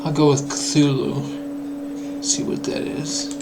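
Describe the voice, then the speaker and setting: A hoarse man thinking aloud while he programs, muttering to himself and drifting into mock-grand asides. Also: fairly high pitch, sing-song, slow, loud music behind the voice, low-quality recording. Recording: clear background noise.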